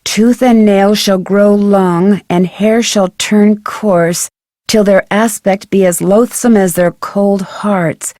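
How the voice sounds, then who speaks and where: An actress playing a curious, plucky young amateur detective with an American accent, musing aloud to herself in an adventure game. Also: female voice, mid-range voice, steady tone, slow, dark tone, dry recording, clean studio sound, lightly acted. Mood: reading aloud, solemn. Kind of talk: scripted adventure game voice acting, thinking aloud.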